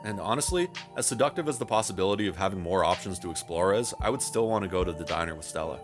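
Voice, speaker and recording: Male game reviewer for video essay: very quick delivery, wry and wordy, self-deprecating, music underneath. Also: low-pitched. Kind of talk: scripted video essay narration, conversational.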